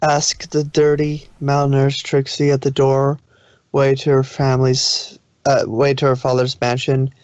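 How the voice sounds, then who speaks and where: Guy narrating a fanfic out loud, mid voice, trips on words sometimes, dry kinda muffled recording. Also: medium pitch, monotone, measured pace, clean tone, dry recording. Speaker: fan fiction reader. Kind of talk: story narration read aloud.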